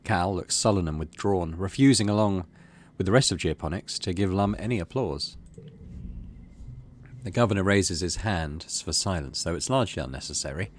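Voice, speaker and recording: A man's voice: low-pitched, normal speed, slight background noise. A middle-aged man hosting a game playthrough, commenting casually and reading the story text aloud. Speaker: gaming video host.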